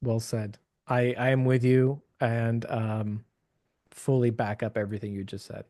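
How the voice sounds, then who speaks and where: Man, podcast host, upbeat, effusive, mid pitch, dry close recording. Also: flat delivery, measured pace, dark tone, dry recording. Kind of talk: casual interview chat. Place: local area of Seattle.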